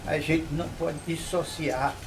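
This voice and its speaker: An older man from Bahia, telling local history in an interview at an old mansion, unhurried and full of tag questions. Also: fairly high pitch, fairly even intonation, heavy background noise. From Bahia, Brazil. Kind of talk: reminiscing interview.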